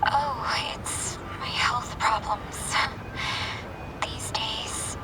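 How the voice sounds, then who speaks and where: Frail, high-voiced anxious woman. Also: steady tone, extremely slow, in a whisper, loud music behind the voice, rough recording. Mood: weary. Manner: hesitant, breathy, meek. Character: frail, anxious, guilt-ridden daughter, soft and hesitant. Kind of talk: English video game dub acting. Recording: loud ambient noise over the voice. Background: loud ambient noise.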